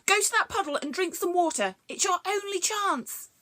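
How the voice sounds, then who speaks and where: A woman's voice: a little high, expressive, measured pace, fairly bright tone, no room sound. A woman voicing a fretful, fluffy little male magic creature in a storybook, speaking in an anxious, pleading way. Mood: alarmed. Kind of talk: storybook read-aloud.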